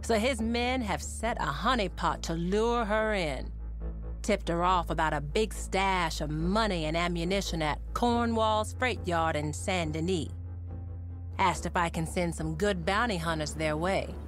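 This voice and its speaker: A woman's voice: lowish voice, unhurried, faint music behind, natural voice. An actress playing a businesslike bounty office woman. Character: bounty office woman giving a job briefing. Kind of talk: video game cutscene acting.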